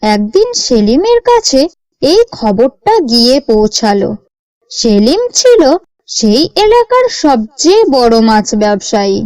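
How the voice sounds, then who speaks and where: Female narrator for a cartoon tale, unhurried and swooping in pitch, measured expressive delivery, dry sound. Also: no room sound, faint music behind, poor audio. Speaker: storyteller. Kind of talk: scripted story narration for a cartoon.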